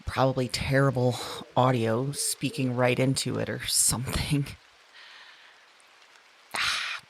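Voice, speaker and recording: A woman playing an anxious dreamer who records halting, confessional voice notes at night in an audio drama, often trailing off. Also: a bass voice, steady tone, gravelly, slight background noise, exaggerated voice. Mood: hesitant, flustered. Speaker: audio drama performer and narrator.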